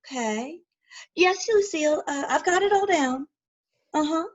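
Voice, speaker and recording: A woman's voice: sits high, expressive, unhurried, muffled recording. A woman voicing a lonely, timid elder sister in a stage play reading, talking hesitantly and tearfully with stammers. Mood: anxious.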